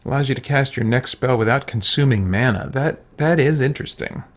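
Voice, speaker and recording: A man's voice: mid-range voice, a little fast. A man streaming a video game, thinking aloud in casual commentary and chatting with viewers. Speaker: gaming streamer. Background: faint game music.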